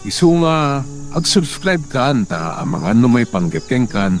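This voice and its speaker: A man's voice: medium pitch, big pitch swings, unhurried. A man announcing a radio drama serial in a dramatic style. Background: loud music.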